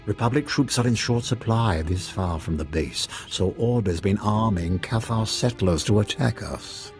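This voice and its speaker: An actor playing a cold, commanding Sith lord in a video game, speaking with formal authority. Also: male voice, lowish voice, normal speed, soft background music, lightly acted. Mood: stern, calculating.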